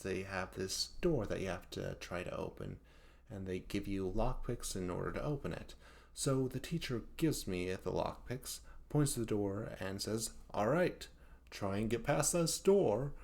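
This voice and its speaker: A man's voice: mid-range voice, a little mellow. A man playing a hapless young male best friend in a comedic audio roleplay, rambling and stammering in a flustered, self-deprecating way. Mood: exasperated, defensive. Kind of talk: comedic audio roleplay monologue addressed to the listener.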